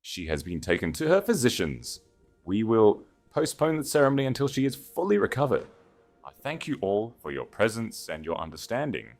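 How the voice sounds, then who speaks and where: A man's voice: fairly low pitch, sing-song, moderate pace, faint music behind, pitched above the performer's own voice. A man voicing a proud aristocratic groom in an audiobook, formal in manner and hiding desperation under false composure. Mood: forced composure.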